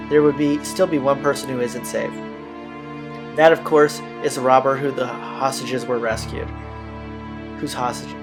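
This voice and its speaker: Man reading aloud over game music. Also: mid-range voice. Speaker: let's-play host reading a visual novel aloud. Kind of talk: reading game text aloud with casual asides. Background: visual novel soundtrack.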